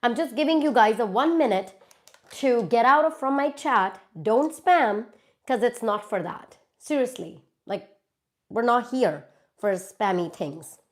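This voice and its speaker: Brief: woman, congested with a cold, fairly high voice, chatty live-stream host talking into her phone. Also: lively intonation, a little slow. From India. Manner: chatty, reads out viewer comments and answers them. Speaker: YouTuber hosting a live Q&A. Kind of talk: casual live-stream chat with viewers.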